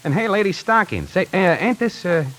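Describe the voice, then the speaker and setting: A man's voice: sits high, sing-song, a little fast, fairly bright tone. An actor playing a streetwise, nervous young tie salesman in a 1940s radio play, tough-talking and slangy, on an old radio recording. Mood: wary. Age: young adult. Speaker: lead actor narrating.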